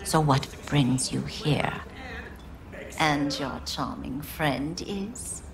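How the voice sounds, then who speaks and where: A woman playing a shrewd older Japanese underworld fixer in a video game, sly and mock-courteous, with sardonic, deliberate delivery. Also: low-pitched, creaky, a crowd in the background, exaggerated voice. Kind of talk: dramatic game dialogue.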